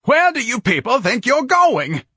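Audiobook role: grandiose, irate male windbag, theatrical, swooping delivery, husky edge. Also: fairly high pitch, big pitch swings, normal speed, fairly bright tone, no room sound, clean studio sound, voice pushed up, heavily acted. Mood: furious bellowing. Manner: pompous, theatrical, florid diction. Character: pompous, furious, bellowing man, grandiose agitator.

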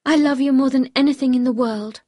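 A woman's voice: on the high side, steady tone, fairly quick, darkish tone, husky, no room sound. A woman voicing a shy, devoted young wife in an audiobook, anxious, earnest and pleading. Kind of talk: audiobook character dialogue.

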